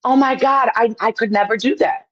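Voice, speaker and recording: A woman's voice: fairly high pitch, steady tone, a little fast, dull audio, crowd noise. A woman from Poland, chatting casually as an opinionated call-in guest, emphatic and repeating herself to make a point.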